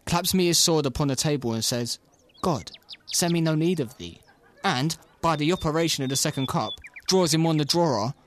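A young man student playing a mocking, hot-headed gentleman in a Shakespeare audio play, bantering and taunting. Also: fairly high pitch, normal speed, close to an everyday voice. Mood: mocking, playful. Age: young adult.

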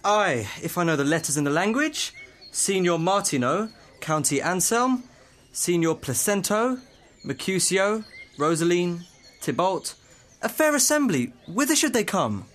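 A moping young lover with a fairly high, expressive voice, played by a man. He declaims Shakespearean verse in an amateur audio drama, over faint ambient noise. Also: unhurried, full character voice. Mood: curious.

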